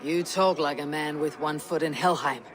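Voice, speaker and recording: A woman's voice: low-pitched, moderate pace, over music, close to an everyday voice. An actress playing a loyal, steadfast Viking warrior woman, direct and wry, in video game dialogue. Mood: firm, dutiful. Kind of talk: dramatic game cutscene dialogue.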